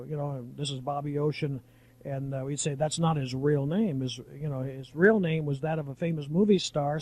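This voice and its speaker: A man's voice: fairly high pitch, darkish tone, poor audio. A mature man, a veteran radio broadcaster, reminiscing in a rambling, anecdotal on-air chat. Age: middle-aged or older.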